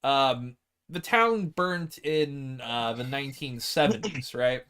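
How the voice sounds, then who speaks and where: A man's voice: medium pitch, expressive, slow, bright, forceful tone, smooth. A man in a casual group film discussion, explaining things in a rambling way full of asides. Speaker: podcast co-host reviewing films.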